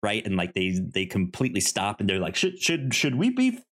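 A man co-hosting a casual film discussion, speaking haltingly and often stammering over the starts of words. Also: fairly low pitch, wildly swooping pitch, a bit bright. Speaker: podcast co-host.

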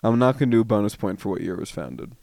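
A man hosting a casual podcast quiz, reading questions slowly and teasing the players. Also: on the low side, expressive, vocal fry, mellow, dry recording, studio quality. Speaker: quiz host.

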